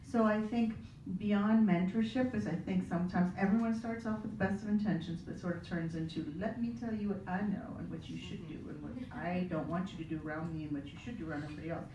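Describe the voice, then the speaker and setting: A woman panelist speaking in an animated way, acting out quick asides as she talks. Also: lowish voice, lo-fi sound, a little hiss or hum. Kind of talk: panel discussion. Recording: echoey room audio from far off.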